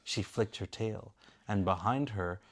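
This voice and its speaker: A man's voice: lowish voice, measured pace, vocal fry. A man reading a bedtime story aloud in the role of a father.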